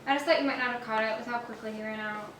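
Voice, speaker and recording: A poised, teasing wife played by a woman with a fairly high voice and a wry, reassuring manner. The stage drama is recorded from afar, with clear background noise. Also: steady tone, very fast, a bit bright, lightly acted. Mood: chatty. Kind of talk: stage play acting. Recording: distant, echoey, noisy stage recording.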